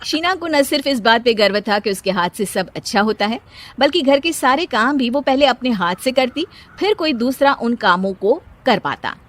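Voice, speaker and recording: Female storyteller, higher-pitched, narrating a scripted animated moral story. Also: normal speed.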